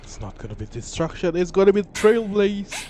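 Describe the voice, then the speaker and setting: A woman's voice: mid-range voice, very animated, moderate pace, darkish tone, in a large hall, a little hiss or hum. A woman livestreaming a game, reacting casually and with swearing.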